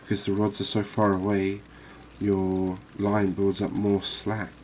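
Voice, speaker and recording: A man giving unhurried, murmured ASMR commentary as he hosts a fishing game series. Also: lowish voice, completely flat delivery, a little hiss or hum. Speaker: host of a gaming series.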